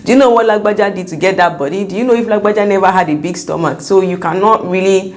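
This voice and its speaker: A young woman with a Nigerian accent and a slightly croaky voice, giving chatty, emphatic advice in a vlog. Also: mid-range voice, fast. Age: young adult. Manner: emphatic, repeats words for stress. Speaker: vlogger sharing first-time mom advice.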